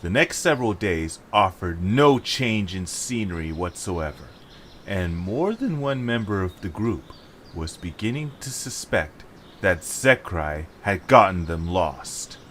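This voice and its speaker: A man's voice: low voice, sing-song, unhurried, a bit bright, a little hiss or hum. A man reading scripted story narration.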